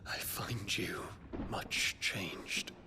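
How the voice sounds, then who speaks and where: A man playing a dutiful but doubting princely son in a video game, formal, measured and pleading, with a breathy voice. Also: fairly low pitch, whispered. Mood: troubled, accusing. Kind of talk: dramatic video game acting.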